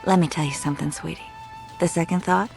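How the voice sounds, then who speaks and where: An actress playing a cool, seductive, amoral crime client in a video game, teasing and condescending. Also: female voice, fairly low pitch, steady tone, quick pace, vocal fry, a little mellow, soft background music. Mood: teasing, calculating. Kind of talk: video game cutscene acting.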